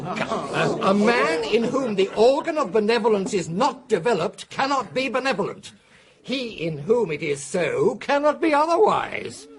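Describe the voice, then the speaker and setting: A pedantic lecturer on skulls in a radio drama. He explains in an earnest, highish voice with plenty of lift and fall. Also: big pitch swings, a little slow, full character voice. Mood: lecturing. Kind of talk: 1980s radio drama acting.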